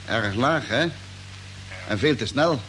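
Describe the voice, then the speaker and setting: A man playing a steady, easygoing spaceship crewman, terse and matter-of-fact, in old radio drama style. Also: medium pitch, slow. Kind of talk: 1950s Dutch radio drama acting. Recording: old, faintly noisy radio recording.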